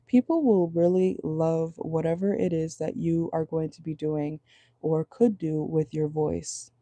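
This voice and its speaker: A woman with a low voice giving a casual tarot reading from home, chatty and rambling, often checking in with her viewers. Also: fairly even intonation, measured pace, dark tone, dry recording. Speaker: tarot reader. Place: at home indoors at night.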